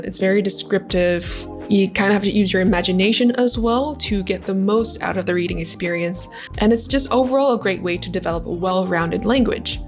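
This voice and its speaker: A young adult woman, a native Vietnamese speaker, talking as an English tutor in a casual, chatty video lesson, often dropping in "like". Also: medium pitch. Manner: friendly, chatty, frequent "like" fillers. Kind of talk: casual YouTube lesson talk.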